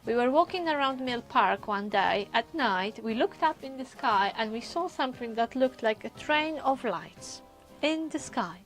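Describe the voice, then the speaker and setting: A woman with a Polish accent chatting casually on a live stream, often pausing with hesitations as she answers viewers. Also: on the high side, animated, over music, low-quality recording. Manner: chatty, many hesitations, reads viewer comments aloud. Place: lives in a caravan on a UK campsite.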